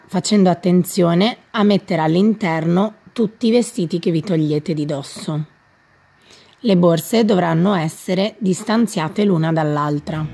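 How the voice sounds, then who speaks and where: A woman with a slightly low, husky voice narrates scripted instructions for young athletes. She explains things step by step, in an instructional manner, with music playing behind her. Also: mellow. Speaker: gym staff narrator. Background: background music.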